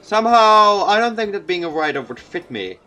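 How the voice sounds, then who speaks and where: Male let's player, expressive read-aloud narration, raised pitch, music playing behind. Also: moderate pace. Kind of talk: reading a visual novel aloud.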